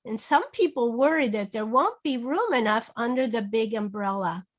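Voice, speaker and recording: High-voiced woman leading an interactive read-aloud. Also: lively intonation. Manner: modeling phrases slowly, asking prompting questions. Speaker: reading teacher. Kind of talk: interactive children's read-aloud with coaching tips. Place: online video session.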